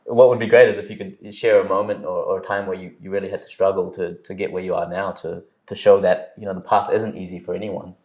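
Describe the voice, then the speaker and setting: A New Zealand man with a very deep voice interviews a guest. He talks in a relaxed, hesitant way, with fillers and restarts. The room gives his voice a clear echo. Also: fast, few pauses, dull audio. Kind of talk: interview questions. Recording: roomy echo.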